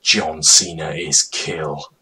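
A man giving a mock-dramatic reading of a comedic story in an over-the-top, deliberately absurd way, drawing out words. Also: a bass voice, fairly even intonation, pushed and bright, slight background noise.

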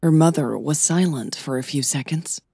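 A woman's voice: deep voice, moderate pace, dark tone, husky, no room sound, clean studio sound. A woman reading scripted fiction as the narrator of an audio drama podcast.

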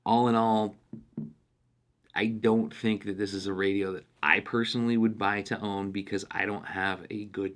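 A man talking casually through a product review in a blunt, opinionated way. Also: fairly low pitch. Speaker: radio gear reviewer.

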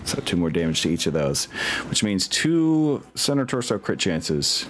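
A man's voice: low-pitched, big pitch swings, moderate pace, a little hiss or hum. A man acting as game master, casually running an online tabletop game.

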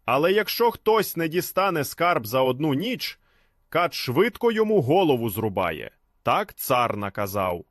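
A loud town crier from a storybook kingdom, acted by a male narrator. He proclaims the tsar's orders sternly, with sweeping intonation and a dry, close sound. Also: on the high side, very animated, unhurried, dry recording, voice pushed up. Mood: stern warning. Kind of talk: folk tale character voice.

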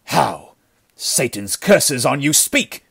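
A man's voice: fairly low pitch, lively intonation, slow pace, a bit bright, slightly rough, full character voice. A man voicing a ruthless, commanding bandit chief who snarls impatiently in an adventure story. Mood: furious, cursing. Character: ruthless, cursing bandit chief nicknamed the wolf. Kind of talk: dramatic storybook villain acting.

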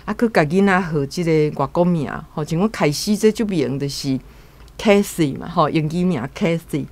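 A Taiwanese woman with a low voice gives casual commentary as a guest. She explains in a chatty way, dotting her phrases with tag particles. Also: moderate pace, darkish tone.

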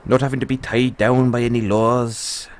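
A man playing a free-spirited, kindly dragon rider and mentor in an audio roleplay, musing and unhurried with pauses. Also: medium pitch, flat delivery, lightly acted. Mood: proud, carefree.

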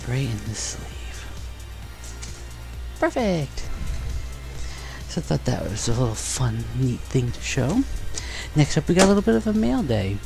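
A young man chatting casually and excitedly while opening trading cards in a bedroom, on a headset mic. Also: mid-range voice, a little slow, gravelly, pauses often, music in the background. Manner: upbeat, chatty, excited exclamations. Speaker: card collecting vlogger. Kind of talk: casual unboxing chat. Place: bedroom studio, indoors.

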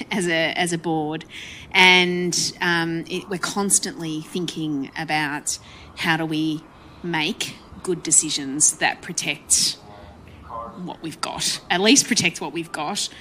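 Australian woman, panel speaker, chatty, lots of ums, low-ish bright voice. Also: a little hiss or hum. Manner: chatty, frequent ums, laughs easily. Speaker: panel guest, council presiding member. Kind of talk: panel discussion talk.

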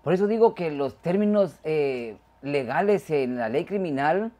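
A man's voice: a little high, animated, a little slow, mellow. A man from Guatemala, hosting a casual interview and rephrasing his points hesitantly. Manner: frequent short backchannels, hesitant rephrasing. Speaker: show host.

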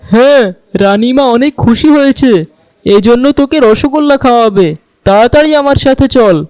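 A man voicing a servile, sly henchman to an evil queen in an animated fairy tale. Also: extremely high pitch, moderate pace, dry recording. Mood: sly, menacing.

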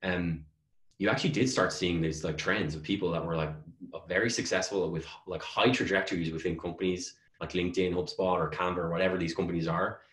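A man's voice: sits low, fairly even intonation, a little fast, a bit bright. A man in his thirties giving informal, emphatic advice as an interview guest on a video call. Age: 30s. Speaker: guest, customer success executive. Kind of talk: conversational interview answers. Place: small bare room at home, on a video call. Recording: echoey room on video call.

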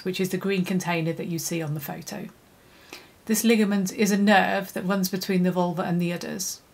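Woman, middle-aged, lowish voice, steady and clear, scripted lesson, close mic, indoor studio. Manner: measured, clear, steady delivery. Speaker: environmental consultant. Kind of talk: scripted educational presentation. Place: indoor studio, plain background.

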